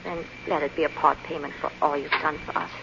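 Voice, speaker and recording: An actress playing an anxious, grateful, refined French refugee wife in a 1940s radio drama, earnest and pleading. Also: female voice, low-pitched, level tone, fast, vocal fry, noisy background. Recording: muffled, reverberant old radio recording.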